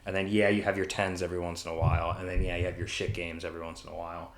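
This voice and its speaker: A man with a low-pitched voice talks as a podcast co-host. His style is casual and opinionated, loose and informal in wording. The recording has a noticeable roomy echo. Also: little pitch movement, very fast. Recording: roomy, echoey sound.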